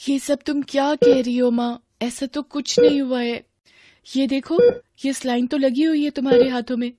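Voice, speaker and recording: A woman's voice: high voice, steady tone, moderate pace, warm tone, no echo, studio quality, voice pushed up. A woman voicing a worried ten-year-old girl in a horror story, anxious and questioning. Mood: worried, reassuring. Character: worried ten-year-old daughter soothing her mother. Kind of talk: Hindi horror story acting.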